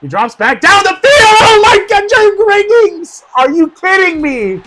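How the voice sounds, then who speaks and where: A man doing play-by-play football commentary, going hoarse, who swings from quiet asides to shouted excitement. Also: very high voice, unhurried, heavy background noise.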